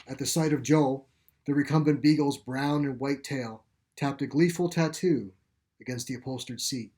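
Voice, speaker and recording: A man narrating his own short story in a deliberate, literary storytelling manner. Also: medium pitch, darkish tone.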